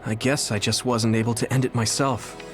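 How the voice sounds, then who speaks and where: An actor playing a gentle, sincere young soldier hero in a video game. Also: male voice, medium pitch, little pitch movement, fairly quick, over music, close to an everyday voice. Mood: dejected. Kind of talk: English video game dub acting.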